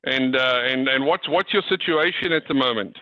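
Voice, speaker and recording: A man's voice: medium pitch, normal speed, no room sound. A man speaking informally to a group as a coach on a video call, often repeating his words and circling back on phrases. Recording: dull, lo-fi video call audio.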